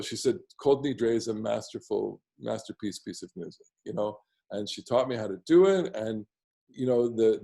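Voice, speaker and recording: Baritone man, rambling home class teacher, mid pitch, loose delivery. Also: warm tone. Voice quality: baritone bass voice. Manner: rambling, with many restarts and asides. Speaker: teacher of synagogue prayer melodies. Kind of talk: casual online class.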